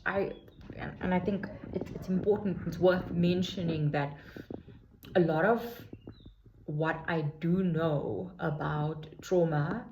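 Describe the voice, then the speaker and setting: A woman in her forties talking reflectively in a casual personal vlog, unhurried and full of fillers and restarts. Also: fairly low pitch, a little mellow, a little hiss or hum, poor audio. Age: 40s. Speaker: vlogger sharing her healing journey.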